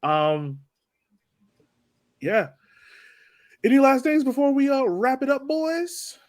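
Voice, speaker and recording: A man's voice: very high voice, lively intonation, slow pace, dry recording, clean studio sound. A man co-hosting a casual sports podcast, chatting about a game in a playful, joking way. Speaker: podcast co-host.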